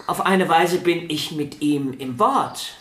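A woman's voice: low-pitched, takes it slowly, fairly bright tone. A woman voicing a vain, proud young violin prodigy in a radio drama, talking in an arrogant, insistent, theatrical way. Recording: roomy studio echo.

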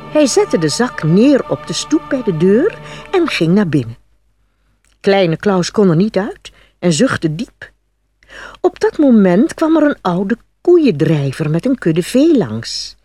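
A woman narrating a scripted fairy tale for children in an expressive, dramatic read-aloud style with a slightly husky voice. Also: on the low side. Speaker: storyteller.

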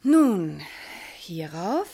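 An actress playing a proud, loving, wounded noble wife in classical verse radio drama, speaking in an earnest, elevated way. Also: female voice, fairly low pitch, big pitch swings, very slow, warm tone, breathy edge, heavily acted. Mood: tender recounting.

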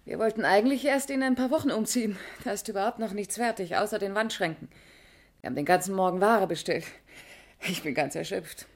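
A woman's voice: medium pitch, brisk, close to an everyday voice. An actress playing a weary, matter-of-fact female pharmacy assistant in a 1960s German radio crime play. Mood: tired, factual.

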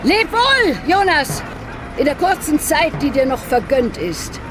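Very high-pitched, taunting villainess. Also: female voice, sing-song, unhurried. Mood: mocking, triumphant. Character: mocking, triumphant female villain. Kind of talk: radio drama acting. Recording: loud music and vehicle noise behind.

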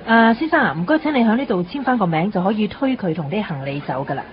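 An actress playing an offhand, matter-of-fact airport staff member in a radio drama, with a Hong Kong Cantonese accent. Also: female voice, mid-range voice, lively intonation, fast, natural voice. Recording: dull recording, noisy background ambience.

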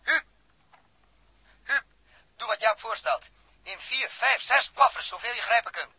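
An actor playing a commanding, decisive spaceship captain who barks terse orders in a 1960s Dutch radio drama. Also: male voice, very high voice, animated, measured pace, bright, forceful tone, breathy edge, full character voice. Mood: urgent, commanding. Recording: old radio recording.

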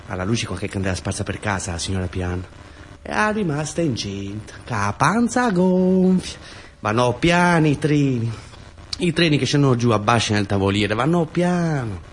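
A man tells a story as a stage monologue in a southern Italian accent, his mid-range voice swinging widely in pitch. He builds rhythm through short, repeated phrases, over slight background noise. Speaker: actor and storyteller in a radio theatre monologue. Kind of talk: theatrical narrative monologue.

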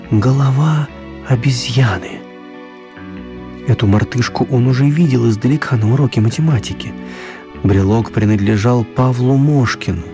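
A man narrating a scripted story for a radio play, with dramatic, deliberate phrasing. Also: medium pitch, a little mellow.

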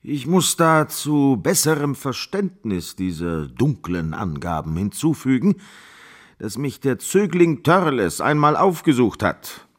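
An actor playing a pedantic, self-important mathematics professor in a radio play, speaking in long-winded, formal phrases. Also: male voice, medium pitch, big pitch swings, unhurried. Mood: pedantic, emphatic.

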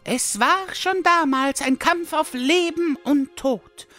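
A woman's voice: high voice, slow, fairly bright tone, soft background music. A woman playing a whiny, melodramatic goblin full of old grudges in a fantasy parody audio drama. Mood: bitter, wailing. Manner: melodramatic, hesitant.

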